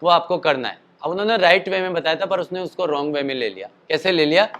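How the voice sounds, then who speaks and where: A man's voice: a little high, expressive, fast talker, lo-fi sound. A man teaching singing and voice use in a casual spoken lesson, explaining at length, with a throat tired from a show the night before. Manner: explanatory, chatty, demonstrates calls and sung notes.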